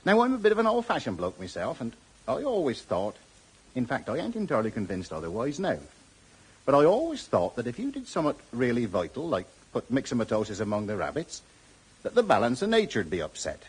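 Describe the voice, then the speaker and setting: Husky, folksy West Country storyteller. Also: male voice, mid-range voice, wildly swooping pitch, fairly quick, heavily acted, rough recording. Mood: folksy, musing. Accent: rural English West Country. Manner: chatty, homespun, rambling rustic. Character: folksy old-fashioned countryman narrating village news. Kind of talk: radio drama storytelling monologue.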